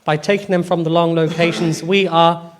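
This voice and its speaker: A man's voice: a little high, level tone, moderate pace, slight background noise. A man on a public panel who answers questions in a firm, explanatory way. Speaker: panel speaker.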